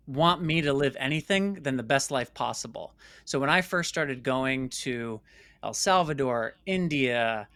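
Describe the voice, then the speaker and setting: A man's voice: medium pitch, a bit bright, no room sound. An American man speaking earnestly and emphatically as an interview guest, telling reflective personal stories and repeating words for stress. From United States. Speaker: interview guest, documentary filmmaker. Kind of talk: reflective personal storytelling in conversation.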